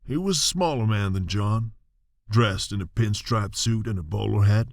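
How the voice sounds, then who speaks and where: An actor playing a folksy old-west storyteller, narrating a western radio serial in a wry manner. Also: male voice, on the low side, moderate pace, gravelly, dry recording, clean studio sound. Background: music behind the voice.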